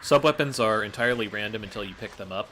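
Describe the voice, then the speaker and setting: A man's voice: lowish voice, quick pace, pushed and bright, a little hiss or hum, poor audio. A man giving casual, analytical gameplay commentary as a speedrunner, explaining his choices.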